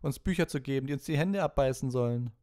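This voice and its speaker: A man voicing an arrogant schoolboy bully in a storybook reading, with mocking, drawn-out sarcasm. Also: mid-range voice, darkish tone, pitched above the performer's own voice, lightly acted. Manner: drawling, exaggerated sarcasm.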